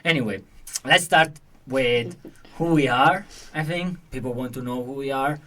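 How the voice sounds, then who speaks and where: A young man with an Italian accent, hosting a casual chat in English as a second language and often pausing to restart his sentences. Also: mid-range voice, bright, forceful tone, recorded from a distance. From Italy. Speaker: podcast host.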